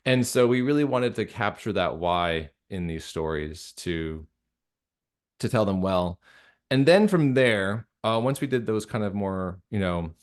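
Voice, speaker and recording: A low-pitched American man speaks as a guest in a casual interview. He thinks aloud, circling back and repeating phrases as he explains things. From United States. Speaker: interview guest, radio drama creator.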